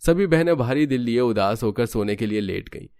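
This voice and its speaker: A man's voice: medium pitch, animated, a little fast, a little mellow, clean tone, dry recording. A man reading scripted narration for a cartoon family story.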